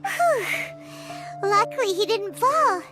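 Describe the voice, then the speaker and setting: A woman voicing a small, childlike fairy companion in a video game, with a squeaky voice and an excitable, chatty manner. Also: slow pace, heavily acted. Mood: relieved, curious. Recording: loud music behind the voice.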